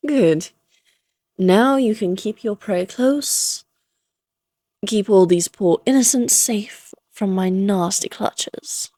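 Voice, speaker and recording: A woman's voice: mid-range voice, slow, dark tone, dry recording. A woman playing a playful, flirtatious immortal vampire in a Victorian fantasy audio roleplay, teasing in genteel, archaic phrasing. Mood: playful, coaxing.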